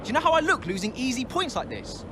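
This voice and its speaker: An actor playing a frustrated young racing driver in a video game cutscene, exasperated and confrontational. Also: male voice, extremely high pitch, wildly swooping pitch, fast, background noise, exaggerated voice.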